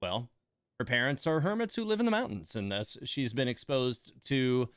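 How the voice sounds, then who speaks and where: A man's voice: mid-range voice, expressive, normal speed, a bit bright, smooth, no room sound, studio quality. A man giving casual, chatty game commentary as a streamer, talking to viewers and repeating words for emphasis.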